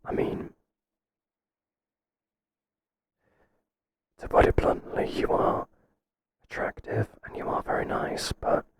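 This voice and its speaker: A man playing a flustered but principled boss in an ASMR roleplay, speaking hesitantly in a breathy whisper. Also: lightly acted. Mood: flustered, awkward. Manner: hesitant, halting, gently firm. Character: flustered, professional male boss, kind but firm. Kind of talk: whispered ASMR roleplay, intimate second-person acting.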